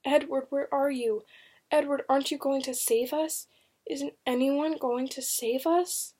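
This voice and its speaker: A woman voicing a frightened young accused woman in a storybook, quietly and anxiously pleading. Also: high voice, steady tone, a little slow, voice pushed up. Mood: fearful. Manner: hushed, anxious, muttering. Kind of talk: dramatic storybook reading.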